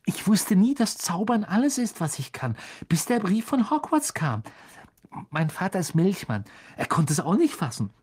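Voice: medium pitch, animated, normal speed, few pauses, a little mellow, husky, pitched above the performer's own voice. A reader voicing an eager, starstruck young schoolboy in a storybook, gushing and begging. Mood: eager, pleading.

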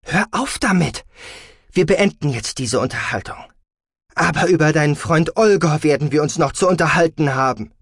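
A man's voice: on the high side, animated, moderate pace, fairly bright tone, husky, no echo, clean studio sound, pitched above the performer's own voice, heavily acted. A man voicing a guarded, irritable mother in an audiobook, curt and exasperated. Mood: stern, exasperated. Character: stern, guarded, irritable mother of a teenage girl. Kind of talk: dramatic audiobook character acting.

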